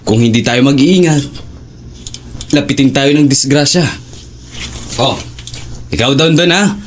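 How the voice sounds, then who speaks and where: A man's voice: medium pitch, a little slow, slight background noise, lightly acted. A man with a Filipino accent playing a protective working husband and father in an audio drama. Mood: caring, instructing.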